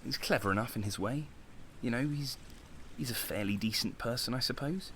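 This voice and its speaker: An actor playing a bitter, insecure young man in a naturalistic audio drama, speaking intensely with dramatic pauses. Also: mid-range voice, background noise, lightly acted. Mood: grudging. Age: young adult. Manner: intense, agitated, self-pitying, with dramatic pauses. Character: young man, Konstantin, bitter, insecure, impassioned would-be artist.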